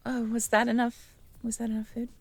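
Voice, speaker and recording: A young woman in an intimate audio roleplay, talking softly to the listener, hesitant and stammering. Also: a little high, monotone, brisk. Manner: hesitant, stammering, soft-spoken. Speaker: audio drama performer speaking to the listener.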